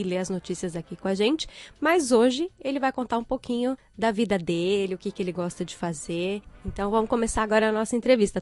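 A woman from Brazil with a São Paulo accent, hosting a radio interview in a lively, chatty way. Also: medium pitch. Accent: Brazilian Portuguese, São Paulo. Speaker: radio host.

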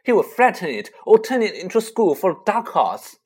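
A reader voicing a desperate, determined schoolboy wizard who shouts in defiance. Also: medium pitch, expressive, normal speed, slightly rough, pitched above the performer's own voice. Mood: defiant.

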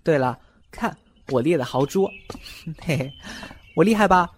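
A man playing a feisty, vain, jealous young male fox spirit in a Chinese audio drama, bratty and excitable. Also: fairly high pitch, unhurried, slight background noise. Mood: showing off.

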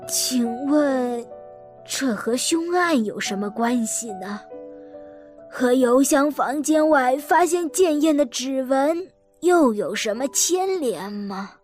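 A woman's voice: high-pitched, lively intonation, slow, a little mellow, soft background music. An actress playing a polite, guarded elderly lady in an audio drama, asking careful, hesitant questions. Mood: cautious.

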